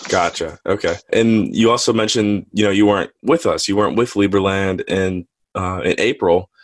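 A man's voice: deep voice, moderate pace, dry recording. A man hosting a podcast, asking friendly, curious interview questions. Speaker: podcast host.

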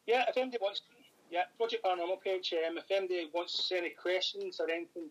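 A Scottish man speaks as a podcast guest, his voice a touch high and bright. He talks fast and rambles, full of fillers and restarts. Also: highs cut off. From Scotland. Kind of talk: casual chat.

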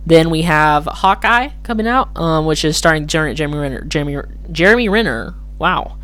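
Solo podcaster, slightly hoarse, mid pitch, rambles and corrects themselves. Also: expressive, normal speed, fairly bright tone. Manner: rambling, hesitant, self-correcting. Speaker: podcast host. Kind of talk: casual solo commentary.

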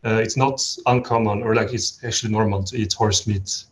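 A man from Sweden, a podcast guest in casual chat, telling stories in a relaxed way with many fillers. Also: lowish voice, brisk.

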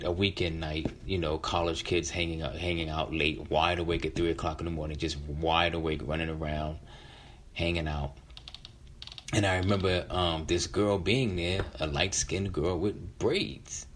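A man's voice: low voice, slight background noise. A middle-aged man telling a personal story in a casual, conversational way as a storyteller.

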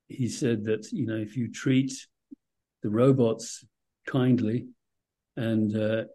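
Husky, mellow male interview host. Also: medium pitch. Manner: enthusiastic, chatty. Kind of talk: conversational interview.

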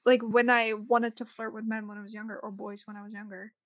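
A woman's voice: medium pitch, little pitch movement, fairly quick, dry recording. A young woman co-hosting a podcast, talking casually with lots of fillers and restarts. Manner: rambling, many fillers and restarts. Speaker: podcast co-host.

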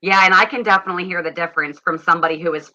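A woman's voice: fairly low pitch, very fast, bright, forceful tone. A woman in her thirties from Pennsylvania with a Pennsylvania accent, co-hosting in casual, laughing chat. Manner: chatty, laughs often, repeats words. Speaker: podcast co-host. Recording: dull lo-fi audio.

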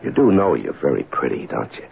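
Deep, gravelly, folksy older detective. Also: male voice, sing-song, a little fast, narrow, tinny audio, heavily acted. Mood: gentle, hesitant. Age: 50s. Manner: hesitant, folksy, conversational. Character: fatherly, folksy 58-year-old police detective, smitten and wry. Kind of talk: old-time radio drama acting with first-person narration. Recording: band-limited, hissy old radio recording.

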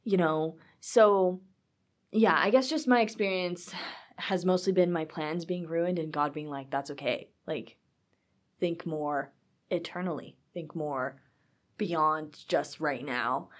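Young woman, low-ish register, upbeat and emphatic casual talk. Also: slow. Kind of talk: casual conversation.

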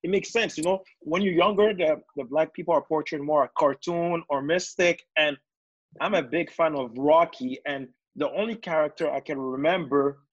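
A man's voice: fairly high pitch, normal speed. A man in his thirties who did not grow up speaking English, reflecting in a casual video-call discussion with many fillers. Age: 30s. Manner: reflective, loose with fillers and repeats. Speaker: panelist. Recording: dull, dry video-call audio.

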